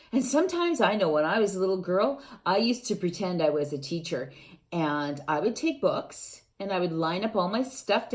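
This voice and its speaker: A woman reading a picture book aloud to young children, gently asking them questions and adding playful sound effects. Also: fairly low pitch. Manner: gentle, coaxing, asks the young listeners questions.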